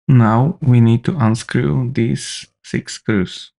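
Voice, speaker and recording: A man narrates a how-to repair guide. His delivery is instructional, laid out step by step. His voice sits at an ordinary middle pitch for a man. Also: fairly even intonation, slow, creaky, warm tone.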